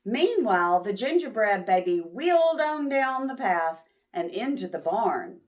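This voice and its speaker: A woman reading a picture book aloud to young children as host, expressive and pausing to ask questions. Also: medium pitch.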